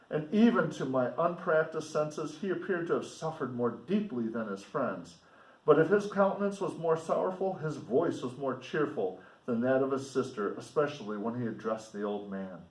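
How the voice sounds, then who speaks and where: A man's voice: mid-range voice, animated, a little mellow. Man reading aloud in an echoey room. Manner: deliberate, explanatory, pausing to comment for students. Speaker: teacher reading a novel aloud with commentary. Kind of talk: read-aloud lesson with casual asides. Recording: roomy echo, distant microphone.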